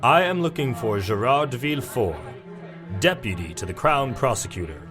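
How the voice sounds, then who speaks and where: An actor playing a dutiful royal officer in a period audio drama, speaking formally and officially. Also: male voice, medium pitch, expressive, moderate pace, lightly acted. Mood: formal, urgent. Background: music.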